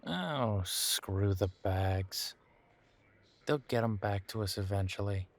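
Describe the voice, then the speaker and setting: A man acts out a weary werewolf boyfriend in an intimate audio drama. His voice sits fairly low and moves very slowly. He speaks in a casual, wry way, hesitating and trailing off between thoughts. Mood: reluctant, dry. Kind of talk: intimate roleplay audio drama, conversational monologue.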